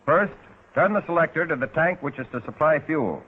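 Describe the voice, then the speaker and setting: An American man narrating a 1940s instructional film in a measured, precise, instructive way, as a flight instructor would. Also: a little high, expressive, husky. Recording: tinny narrow-band 1940s film soundtrack.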